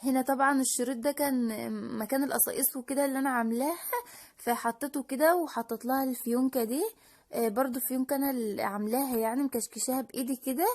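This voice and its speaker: A woman's voice: high voice, a little mellow. A woman with an Egyptian Arabic accent, talking casually through a crafting tutorial as the channel's host and explaining each step. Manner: chatty and explanatory, with frequent restarts and fillers.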